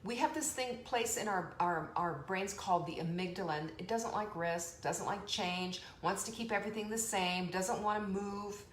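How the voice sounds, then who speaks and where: A woman hosting a live online motivational show, chatty and energetic, laughing often and reading out viewer comments. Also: medium pitch, moderate pace, few pauses. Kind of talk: motivational live-stream talk. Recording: echoey room with faint background noise.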